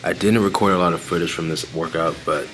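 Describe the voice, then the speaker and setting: A man's voice: low voice, fairly even intonation, fairly quick, a bit bright, faint music behind. A young man with a Nigerian accent talking casually and earnestly to camera in a fitness vlog, often repeating words as he searches for the next one. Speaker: fitness vlogger.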